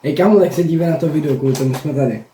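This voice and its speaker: A man's voice: fairly high pitch, warm tone. A young man chatting casually as a reaction host, joking and swearing in slang. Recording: roomy echo, faint noise and clicks.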